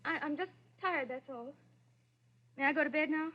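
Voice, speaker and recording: A woman's voice: sits high, normal speed, close to an everyday voice. An actress playing an anxious, pleading, frightened young woman in a 1940s radio thriller. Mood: nervous, evasive. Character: frightened, jittery, devoted young woman helping a fugitive. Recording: dull, lo-fi vintage radio recording.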